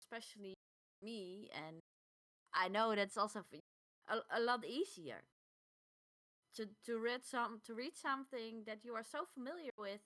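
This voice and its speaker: A woman with a Dutch accent co-hosting a podcast in casual, slightly halting English. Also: fairly high pitch, bright, forceful tone. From Netherlands. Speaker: podcast co-host.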